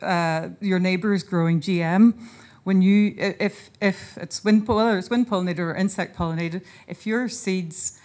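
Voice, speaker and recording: A woman giving an earnest, informal conference talk in a hotel conference room, often pausing and restarting her sentences. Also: on the low side, darkish tone. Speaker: conference speaker and seed charity founder. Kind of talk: conference presentation.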